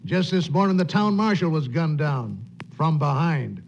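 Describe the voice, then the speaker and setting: A man's voice: a little high, animated, normal speed, gravelly. An actor playing a surly, combative ranch-hand henchman in a 1940s radio western. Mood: angry, defiant. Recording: dull old radio recording, crowd murmur.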